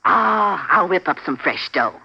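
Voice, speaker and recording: A man's voice: sits high, expressive, measured pace, vocal fry, breathy edge, dull audio, heavily acted. A man voicing a doting, food-pushing Mama in 1950s radio comedy-drama style. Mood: fussing. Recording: band-limited vintage 1950s radio recording.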